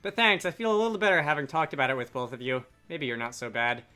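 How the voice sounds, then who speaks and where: A man's voice: on the high side, steady tone, fast talker, bright, forceful tone. A man voicing an anxious, prickly female fairy from a game in a flustered manner. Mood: relieved. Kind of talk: reading game dialogue aloud. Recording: game music behind.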